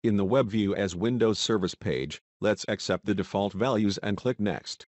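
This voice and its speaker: A man reading a scripted instructional narration in a measured, step-by-step manner, as a software tutorial voice-over. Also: lowish voice, slight background noise.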